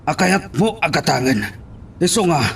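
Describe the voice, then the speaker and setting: A man's voice: fairly high pitch, expressive, unhurried, creaky, slightly rough, full character voice. A man with an Ilocano accent playing a defiant, greedy fugitive father who threatens his own family in an audio drama. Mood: defiant, gloating.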